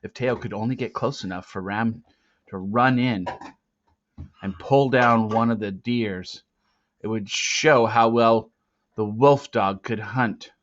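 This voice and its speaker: Slow-paced man reading a story, roomy sound. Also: fairly low pitch. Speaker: narrator reading a novel aloud. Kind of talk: expressive storybook read-aloud. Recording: dull lo-fi, roomy echo.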